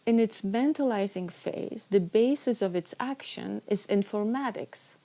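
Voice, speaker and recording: A woman's voice: mid-range voice, measured pace, warm tone. A woman giving a scripted reading of a mock-scholarly literary essay. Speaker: reader of a literary text. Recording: faint background noise.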